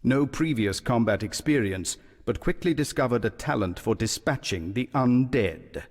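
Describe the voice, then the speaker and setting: An actor voicing a grim announcer for a war-horror video game, reading character profiles and mission briefings in a slow, grave, ominous manner. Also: male voice, medium pitch, animated. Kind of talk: scripted game narration.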